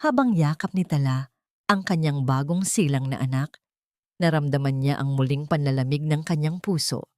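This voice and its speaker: A woman's voice: sits low, moderate pace, dark tone, no room sound, clean studio sound. A woman delivering dramatic scripted narration for an audio drama, in a solemn, emotive storytelling manner.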